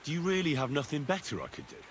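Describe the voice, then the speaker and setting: An actor playing a young drifter looking for work, pushy and sarcastic. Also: male voice, a little high, big pitch swings, fast talker, slight background noise.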